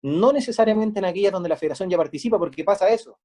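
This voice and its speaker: Male interviewee, Chilean accent, high-set but soft-toned voice, methodical explainer in the manner of a sports official. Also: very fast. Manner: methodical, explaining step by step with examples. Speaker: sports federation manager being interviewed.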